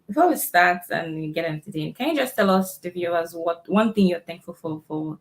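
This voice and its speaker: A woman's voice: on the low side, lively intonation, brisk. A woman hosting a talk show in a casual, chatty way.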